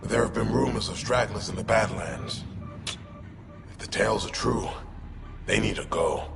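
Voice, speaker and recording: A man playing a gruff, pragmatic outlaw lieutenant in a video game, gravelly and terse. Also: lowish voice, normal speed. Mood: guarded. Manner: terse, clipped, matter-of-fact. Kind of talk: video game cutscene acting. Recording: long reverb, clear background noise.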